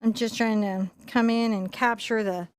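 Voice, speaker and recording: A woman's voice: on the high side, fairly even intonation, normal speed, clear, resonant tone, no room sound. Chatty woman teaching painting live. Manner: chatty, laughing, explaining brushwork as she paints. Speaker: painting instructor.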